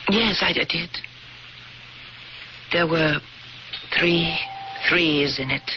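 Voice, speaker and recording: A woman's voice: deep voice, extremely slow. An actress playing a nervous married woman shaken after a car crash, in a 1970s radio drama, her voice tremulous. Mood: uneasy. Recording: lo-fi old radio broadcast with hiss.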